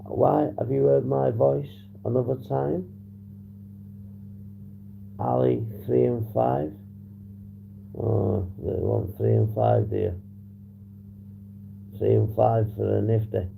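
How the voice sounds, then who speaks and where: A man hosting a casual livestream, hoarse and husky, rambling and swearing as he reads the chat. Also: mid-range voice, steady tone, slow, long pauses, dark tone. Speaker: livestream host. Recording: noisy background hum.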